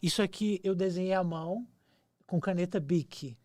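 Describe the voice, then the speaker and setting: A man's voice: sits high, normal speed, darkish tone, no echo. This is a man in his forties, a guest on a podcast, looking back on his past. He talks earnestly and with some nostalgia, stumbling and repeating words as he gathers his thoughts. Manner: hesitant, repeats words and restarts often. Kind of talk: reflective storytelling.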